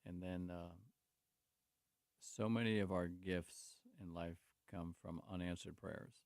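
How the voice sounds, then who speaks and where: A man's voice: low voice, fairly even intonation, slow, a little mellow, no room sound, clean studio sound. A man, a guest on a talk show, telling rambling stories about his life and faith with many restarts. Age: 60s. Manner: speaks openly about faith. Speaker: guest, construction company owner. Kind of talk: casual talk show conversation.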